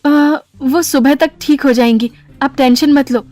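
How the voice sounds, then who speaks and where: A woman's voice: high-pitched, fairly even intonation, unhurried, a little mellow, no room sound. An actress playing a timid, coy young woman in an audio drama, hesitant and deferential as she placates. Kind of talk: Hindi audio drama acting.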